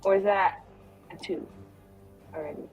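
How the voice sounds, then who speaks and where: A woman's voice: mid-range voice, fairly even intonation, moderate pace, over music. A woman playing a tabletop game, chatting casually in a self-deprecating, sweary way.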